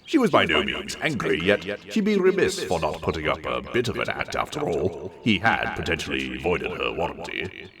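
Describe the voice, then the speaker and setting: A man's voice: deep voice, wildly swooping pitch, normal speed, vocal fry, crowd noise. A man reading a story aloud in a dramatic narrating style. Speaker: story reader.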